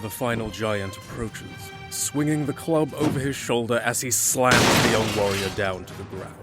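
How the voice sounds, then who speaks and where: A man's voice: medium pitch, normal speed, music in the background. A man giving dramatic, scripted fantasy narration as the storyteller.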